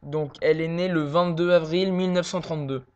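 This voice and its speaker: A man making a prank phone call, posing as a worried son and talking hesitantly with many pauses and fillers. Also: fairly high pitch, fairly even intonation, darkish tone, smooth.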